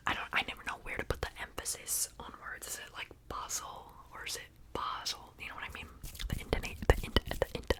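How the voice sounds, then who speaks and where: Softly whispering woman, repeating words. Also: medium pitch. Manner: whispered, soft and rambling, repeating words over and over; playful, chatty asides to viewers. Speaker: ASMR creator. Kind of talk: ASMR whispering.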